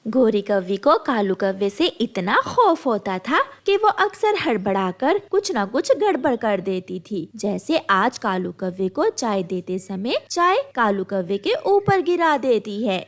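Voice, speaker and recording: A woman's voice: medium pitch, sing-song, unhurried, hardly any pauses. A woman narrating a scripted children's story.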